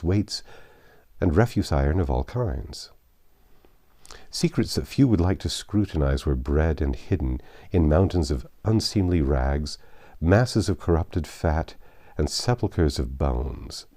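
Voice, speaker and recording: A man narrating classic literature as a storyteller, with measured, dramatic phrasing. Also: extremely low pitch, mellow, dry recording, clean studio sound.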